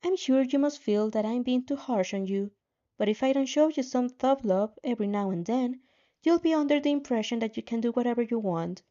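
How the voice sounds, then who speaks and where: Woman as a condescending, remorseless system voice, higher-pitched. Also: lively intonation, brisk, dark tone, dull audio, lightly acted. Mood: condescending scolding. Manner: patronizing, coolly coaxing.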